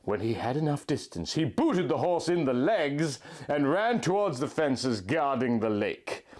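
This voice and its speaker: A man reading fan fiction aloud in a deadpan, overly earnest storybook manner. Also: medium pitch, measured pace. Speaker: comic reader of fan fiction. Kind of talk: mock-dramatic reading aloud.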